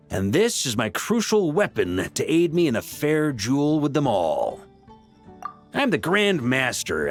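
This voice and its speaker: A man's voice: mid-range voice, natural voice. A man voicing a jovial, hard-drinking veteran knight commander in a video game, easygoing and boastful in conversation. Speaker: video game character voice actor. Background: game music.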